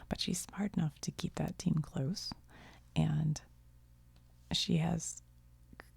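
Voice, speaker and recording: Low gravelly whispering woman. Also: fairly even intonation, takes it slowly, no room sound. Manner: gentle, chatty, laughs softly, trails off. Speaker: video creator. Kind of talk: soft-spoken ASMR whispering.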